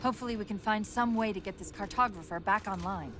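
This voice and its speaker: A woman playing a quick-witted female AI companion in a video game, articulate and brisk, with dry wit. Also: medium pitch, over music, lightly acted. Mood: calm, analytical. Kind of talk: video game cutscene voice acting.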